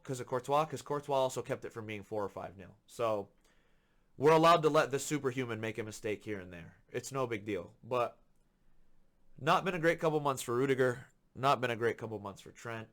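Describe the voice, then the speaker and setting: Hoarse, ranting football podcast host. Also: male voice, medium pitch, a bit bright. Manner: frustrated, ranting, emphatic repetition. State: slightly hoarse from illness. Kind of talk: solo football commentary rant.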